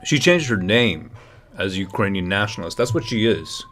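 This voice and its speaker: Man, livestream host, low pitch, emphatic, talks to viewers, commentary. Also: expressive, moderate pace, a bit bright, faint music behind.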